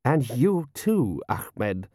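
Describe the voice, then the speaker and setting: A man voicing a haughty, weary prince with a slow, imperious manner in an audiobook. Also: mid-range voice, sing-song, warm tone, dry recording, studio quality, heavily acted. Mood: cold disdain. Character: haughty, weary male prince, used to obedience.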